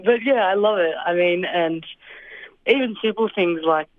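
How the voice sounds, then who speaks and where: A woman's voice: fairly low pitch, moderate pace, dry recording. Young woman on a tinny phone line. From Australia. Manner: thoughtful, hesitant with fillers and soft laughs. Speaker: interview guest. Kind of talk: casual interview answers.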